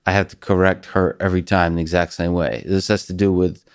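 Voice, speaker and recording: A man hosting a podcast interview, talking in a halting, wry, self-deprecating way. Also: deep voice, little pitch movement, clean tone, no echo, studio quality. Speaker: podcast host. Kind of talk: conversational interview.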